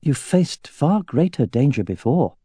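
A man's voice: fairly high pitch, big pitch swings, measured pace, husky, no echo, clean studio sound, voice pushed up, heavily acted. A man voicing a tender, firm young wife. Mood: gentle. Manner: gentle, reassuring.

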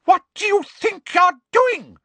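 A man's voice: very high-pitched, slow pace, sharp tone, no echo, pitched above the performer's own voice, exaggerated voice. A man voicing an outraged, bossy female flying teacher, shrieking commands in an audiobook.

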